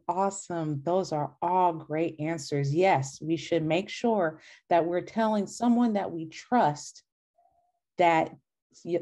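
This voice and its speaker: A woman's voice: fairly low pitch, warm tone. A young adult woman leading a children's storytime, reading and talking in an encouraging way over a video call from a room at home. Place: small indoor room at home. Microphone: video call, laptop microphone.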